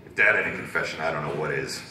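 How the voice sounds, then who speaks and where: A man's voice: very deep voice, racing, bright, forceful tone, echoey room, far from the mic, slight background noise, heavily acted. A man voicing a blunt, scornful working-class pony with slangy speech and a Brooklyn accent. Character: brash, scornful working-class stadium reserve ponies.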